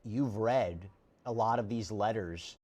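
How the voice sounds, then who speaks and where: A man's voice: fairly low pitch, slow, darkish tone. A man hosting a legal news panel, asking a reporter direct questions.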